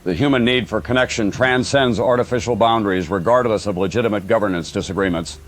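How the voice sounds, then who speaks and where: A man playing a neutral wartime radio moderator in an audio drama, speaking in a formal, measured, diplomatic way. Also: fairly low pitch, a little hiss or hum, close to an everyday voice. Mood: composed, diplomatic. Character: composed, neutral radio moderator during civil war.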